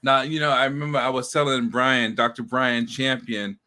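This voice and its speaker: A man hosting a casual interview, with a bright, forward voice at an everyday middle pitch. He talks in a chatty, easygoing way and often trips through restarts and fillers before getting to his point. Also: fairly even intonation, normal speed. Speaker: host.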